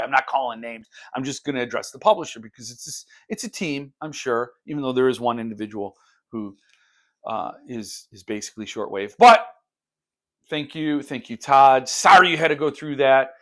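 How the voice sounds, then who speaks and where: A man hosting a book vlog, talking informally and haltingly as he thinks aloud. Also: medium pitch, fairly bright tone. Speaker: host of a book unboxing channel. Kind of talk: casual unscripted book vlog chat.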